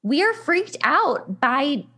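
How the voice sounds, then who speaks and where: Emphatic, higher-voiced woman chatting as host. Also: sing-song, takes it slowly, a bit bright. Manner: emphatic, repeats words, acts out imagined reactions. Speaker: podcast host. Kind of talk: casual relationship advice talk with anecdotes.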